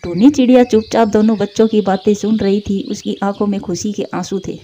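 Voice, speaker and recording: A woman's voice: medium pitch, fairly even intonation, a little fast, mellow. A woman reading scripted narration for a Hindi cartoon story.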